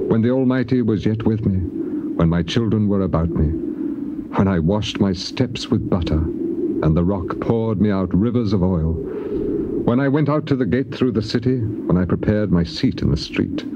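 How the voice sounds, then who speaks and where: A male actor plays a grieving, dignified old patriarch in a dramatized scripture recording. His low voice declaims in measured poetic phrases that rise and fall widely. The sound is an old cassette, thick with noise. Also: natural voice. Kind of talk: dramatized scripture reading, theatrical classical acting. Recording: dull, very noisy old cassette tape.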